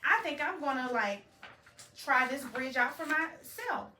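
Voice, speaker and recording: Woman as a high-voiced, curious storybook rabbit. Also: lively intonation, unhurried, bright, forceful tone, full character voice. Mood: casual, adventurous. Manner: questioning, coaxing. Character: curious, bold female rabbit questioning her bear friend. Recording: roomy, distant home recording with faint noise.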